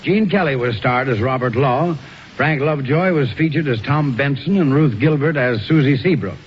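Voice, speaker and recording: A man's voice: mid-range voice, normal speed. A man announcing formally in the style of 1940s radio. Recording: dull, noisy old radio broadcast recording.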